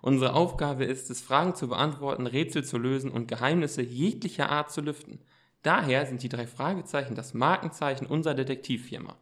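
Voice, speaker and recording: A man's voice: mid-range voice, a little fast, natural voice. A man playing a self-assured teenage boy detective in an amateur audio drama, speaking in a formal, wordy, explanatory way.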